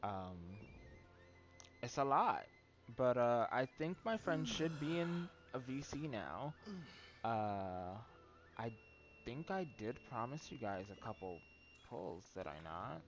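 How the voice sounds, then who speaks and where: A man giving casual, rambling livestream commentary on a game in a halting, loose way. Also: medium pitch, big pitch swings, faint music behind. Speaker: game streamer. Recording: muffled mic with background noise.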